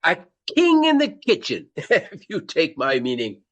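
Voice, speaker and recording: Vain old-time regency actor, high pitched and super animated, courtly radio play stuff. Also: male voice, measured pace, muffled recording, exaggerated voice. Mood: effusive, courtly. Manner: theatrical, effusive, old-fashioned phrasing. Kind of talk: radio drama acting.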